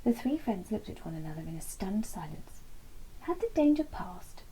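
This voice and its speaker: A woman reading a children's bedtime story aloud in an expressive, deliberate storytelling manner, with a soft, breathy voice. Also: mid-range voice, slight background noise, rough recording. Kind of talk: scripted read-aloud story narration.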